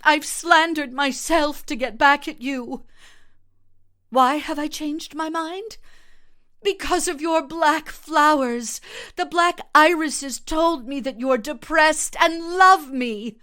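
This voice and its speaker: A woman's voice: high voice, takes it slowly, pushed and bright, voice pushed up, full character voice. A woman reading a short story, voicing a volatile lovelorn woman who is coy, haughty and theatrical. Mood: ecstatic pleading. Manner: theatrical, swinging between coy and fierce.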